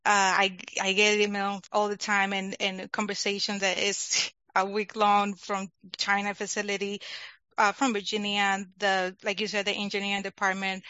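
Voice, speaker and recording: Guest read: young Dominican-accented woman, bright forward tone, dry close sound, talkative and quick to laugh. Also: mid-range voice, dry recording, lo-fi sound. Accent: Dominican Spanish. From Dominican Republic. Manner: laughs often, talkative, restarts phrases. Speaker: guest, product manager. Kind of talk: casual interview chat.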